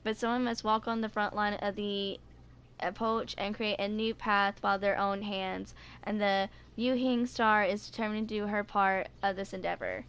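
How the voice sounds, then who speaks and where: A woman in her twenties reading game lore aloud and commenting casually, informal and somewhat halting as she goes. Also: medium pitch, fairly even intonation, fairly bright tone, clean tone. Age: 20s. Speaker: gaming video creator.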